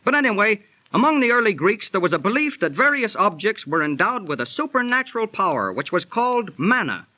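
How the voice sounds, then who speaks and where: An actor playing a breezy, good-natured know-it-all hobo in a slangy, explanatory 1930s radio drama manner. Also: male voice, high voice, very animated, brisk, hardly any pauses, pushed and bright, heavily acted. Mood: storytelling. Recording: muffled 1930s radio transcription.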